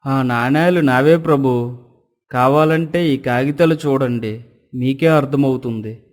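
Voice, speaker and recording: A man playing an honest, earnest and respectful villager in an animated moral story. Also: medium pitch, measured pace, close to an everyday voice.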